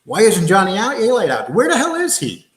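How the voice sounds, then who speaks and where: A man's voice: high-pitched, huge pitch swings, normal speed, a bit bright. A man hosting a casual livestream, talking in a blunt, combative, streetwise way. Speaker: show host. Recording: roomy, echoey sound.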